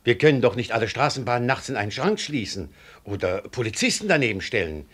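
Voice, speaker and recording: A reasonable official pleading for leniency in a radio drama. His mid-pitched voice is raspy, with wide swings of intonation. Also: sing-song, fast, a bit bright. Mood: reasoning, exasperated. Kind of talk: German radio play acting.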